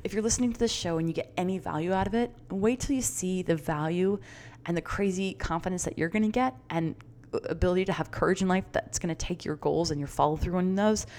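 A middle-aged woman coaching her listeners through her own podcast in a bright, encouraging, chatty way. Her voice sits a little low for a woman. She talks with easy fillers and rising enthusiasm. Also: fast talker. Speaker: podcast host and confidence coach. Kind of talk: upbeat solo podcast talk.

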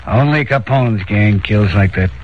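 Low-voiced actor as a hard-boiled gang boss, old radio. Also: male voice, measured pace, natural voice. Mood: resigned, grim. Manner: curt, slangy, commanding. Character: hard-boiled male gang boss, weary, curt, wary. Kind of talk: 1940s radio crime drama acting. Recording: noisy, dull 1940s radio recording.

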